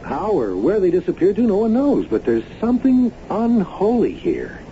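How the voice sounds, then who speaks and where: Urgent, highish-voiced scientist friend. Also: male voice, huge pitch swings, normal speed, mellow, full character voice. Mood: grave, urgent. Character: earnest, urgent male scientist friend, gravely warning. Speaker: radio drama actor. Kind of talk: old-time radio drama acting. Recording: muffled, noisy radio drama broadcast.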